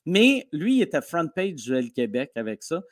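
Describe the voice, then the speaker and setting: A man with a Québécois French accent tells stories in a casual podcast chat. His voice sits a bit high, and he talks in animated bursts full of restarts and asides. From Quebec. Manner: animated storytelling, fast with restarts. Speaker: comedian guest.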